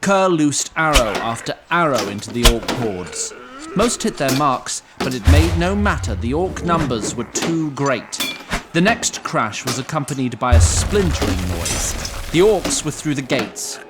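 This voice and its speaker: A man narrating a fantasy audio drama in a deliberate, solemn, scripted storytelling style. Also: medium pitch, very animated, heavy background noise. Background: music.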